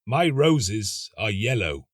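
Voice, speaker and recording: Man as a slow, solemn rose tree. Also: on the low side, very animated, slightly rough, no echo, studio quality, exaggerated voice. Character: stately rose tree, calm, slow-spoken. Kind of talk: storybook reading.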